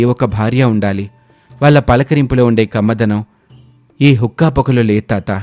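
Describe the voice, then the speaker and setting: A man's voice: medium pitch, a little mellow, no room sound, natural voice. A man playing an earnest, preachy young educated villager back from the city in a moral story. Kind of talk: dramatised moral-story dialogue.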